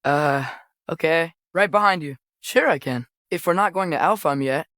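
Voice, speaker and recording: A man's voice: fairly high pitch, normal speed, pushed and bright, no echo. Game role: eager, sincere teen boy, godly lineage, youthful and conversational, close dry sound. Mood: subdued, friendly. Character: earnest, eager teenage boy adventurer, son of a god. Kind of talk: video game character acting.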